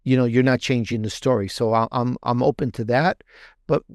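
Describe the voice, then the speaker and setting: A man's voice: mid-range voice, fairly even intonation, no echo. Man with a very dry, close sound. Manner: halting and repetitive, emphasizes by restating phrases. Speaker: guest author and podcaster. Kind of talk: casual promotional interview talk.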